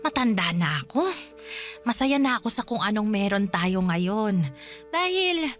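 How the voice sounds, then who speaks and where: A woman playing a proud, warm-hearted elderly mother in a radio drama, with a Filipino accent and fond, exclaiming delivery. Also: medium pitch, very animated, measured pace. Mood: proud, touched. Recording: music under the dialogue.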